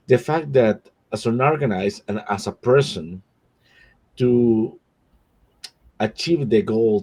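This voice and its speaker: A man's voice: on the low side, a little mellow. A man whose first language is Spanish, speaking as a panelist in an online discussion, often restarting and searching for words.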